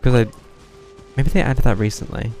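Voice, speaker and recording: A young man streaming a game, commenting casually in a low-key, musing way. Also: normal speed, mellow. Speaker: live streamer. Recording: game music behind the voice.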